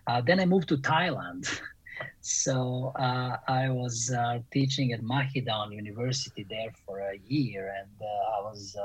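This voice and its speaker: A middle-aged man with a Serbian accent, a composer and professor, answering interview questions in a halting, reflective way with many pauses. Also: medium pitch, slightly rough, no echo, low-quality recording.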